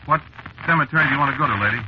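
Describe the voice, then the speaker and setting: A man's voice: mid-range voice, very fast, close to an everyday voice. An actor playing a streetwise, jittery working-class American cab driver who tells his story in slangy, hesitant fashion, in old radio drama style. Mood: wary. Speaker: narrator and lead character. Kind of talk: 1940s radio drama acting, first-person narration. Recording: noisy, muffled old radio broadcast recording.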